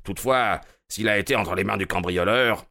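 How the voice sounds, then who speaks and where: A man's voice: fairly low pitch, moderate pace, creaky, sharp tone, no room sound, clean studio sound. A man voicing a bustling, pompous veteran police inspector in an audiobook, self-satisfied in tone. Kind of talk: audiobook character reading.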